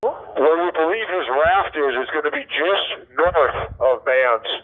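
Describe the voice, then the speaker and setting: A man reports over police radio. His voice sits a little high, and the transmission is thin and phone-like. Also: lively intonation, unhurried. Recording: narrow-band police radio.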